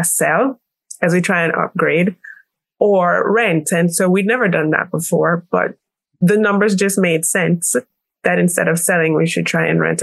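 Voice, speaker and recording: A woman's voice: fairly low pitch, creaky, no room sound. A woman from Jamaica with a Jamaican accent, explaining things conversationally as a business-owner interview guest.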